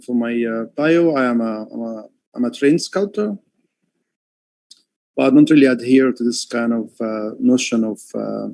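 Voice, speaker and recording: A man from Egypt speaks slowly and haltingly, filling his pauses with "um" and "uh" as he explains his art. His voice has a gravelly edge, and the sound is dry and close, with no room echo. He talks over a headphone setup on a live call. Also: mid-range voice, warm tone. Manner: hesitant, many fillers and restarts. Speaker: artist. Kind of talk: livestream talk.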